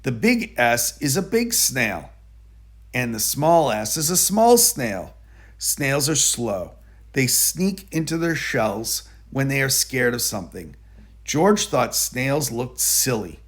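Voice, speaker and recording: A man narrating a children's picture book aloud in a deliberate, explanatory manner. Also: mid-range voice, very animated, fairly bright tone. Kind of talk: children's storybook read-aloud.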